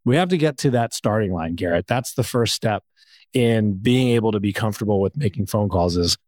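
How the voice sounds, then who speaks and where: A man's voice: lowish voice, lively intonation, a little fast, a little mellow, no room sound, clean studio sound. A man co-hosting a podcast, talking informally in a coaching style, posing questions and answering them himself. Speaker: podcast co-host. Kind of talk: casual conversational coaching talk.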